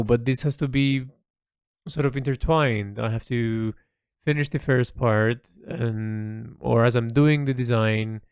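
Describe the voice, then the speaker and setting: A man talks through their creative work in a casual solo monologue. The voice has a gravelly, frying edge and sits very dry and close, with no room around it. They feel their way through sentences hesitantly, hunting for the next word. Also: mid-range voice, dry recording. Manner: hesitant, searching for words, trailing into "sort of". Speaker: artist and writer keeping an audio log of their project.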